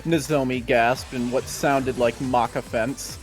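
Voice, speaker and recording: A man's voice: mid-range voice, steady tone, measured pace, soft background music. A man narrating a fan fiction story aloud in a live read-along.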